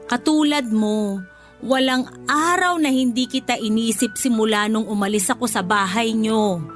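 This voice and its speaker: A woman playing a loving, motherly older nanny in a Filipino radio drama, speaking tenderly and with deep emotion. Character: loving older nanny, motherly, grieving her lost child.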